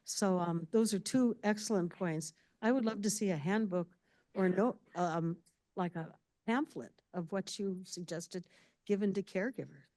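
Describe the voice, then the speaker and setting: A woman in the audience making a candid comment as a caregiver, speaking loudly. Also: medium pitch, moderate pace, no room sound. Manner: loud, candid.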